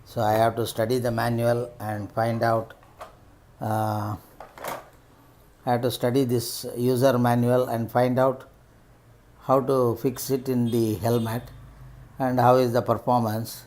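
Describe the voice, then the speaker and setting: A middle-aged man with an Indian accent giving unscripted unboxing commentary as a vlogger, in halting, piecemeal phrases. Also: medium pitch, level tone, darkish tone, a little hiss or hum. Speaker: vlogger reviewing rider gear.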